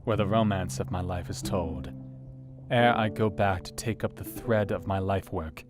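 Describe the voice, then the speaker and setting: Low-voiced, earnest gentleman diarist played by a man. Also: lightly acted. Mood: sad, resigned. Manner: measured, formal, literary. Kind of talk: scripted diary narration in audio drama. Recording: plucked guitar music behind the voice.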